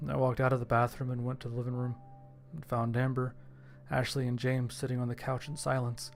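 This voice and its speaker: A man reading scripted first-person horror fiction as its narrator, with a gravelly voice. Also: medium pitch, flat delivery, fairly quick, faint music behind.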